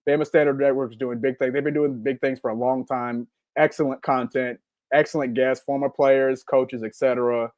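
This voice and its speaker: A man's voice: medium pitch, steady tone, moderate pace, darkish tone, dry recording. A man, co-hosting a live-stream podcast and reading chat comments in a rambling, upbeat way. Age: 40s. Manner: rambling and upbeat, full of ums and repeats. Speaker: podcast co-host.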